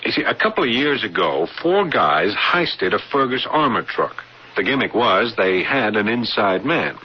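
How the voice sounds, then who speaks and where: Deep-voiced, reluctant artist husband. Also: male voice, very animated, normal speed, husky. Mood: casual, explaining. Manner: casual, self-deprecating, colloquial. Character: modest, reluctant artist husband, affectionate but doubtful. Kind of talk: 1980s radio drama acting. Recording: dull, hissy old radio broadcast recording.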